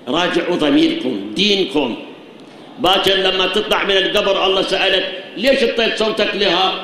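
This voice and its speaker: A man's voice: high voice, bright, forceful tone. A man with an Iraqi Arabic accent preaching a sermon in a shrine, earnestly urging the listeners with rhetorical questions, his voice tired. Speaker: cleric preaching. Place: shrine hall. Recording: very echoey hall, faint noise.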